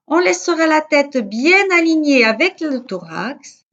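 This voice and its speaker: A woman with a fairly high voice leads a guided yoga nidra very slowly. Her delivery is calm and measured, with soothing pauses between instructions. The sound is a little dull and lo-fi. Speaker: yoga teacher.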